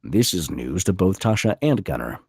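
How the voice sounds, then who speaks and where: A man's voice: sits low, very animated, normal speed, gravelly, a little mellow, husky, dry recording, studio quality. A man narrating a screenplay at a table read, reading out scene headings and stage directions.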